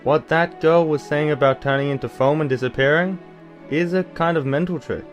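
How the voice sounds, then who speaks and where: A man playing a caring, bookish older brother in an audio drama, explaining things in a measured, slightly formal way. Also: on the high side, highs cut off, soft background music, lightly acted. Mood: explanatory. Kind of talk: anime-style audio drama acting.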